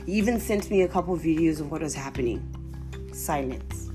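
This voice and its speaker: Animated Zimbabwean woman telling stories. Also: sits low, brisk, soft background music. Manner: animated, exclaiming, laughing often. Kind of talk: casual comic storytelling to viewers.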